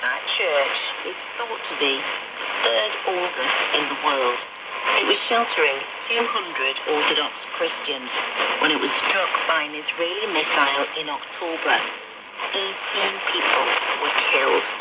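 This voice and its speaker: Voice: mid-range voice, unhurried, far from the mic. Reporter narrating over heavy shortwave radio noise. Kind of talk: scripted narration. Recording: noisy crackly shortwave radio reception.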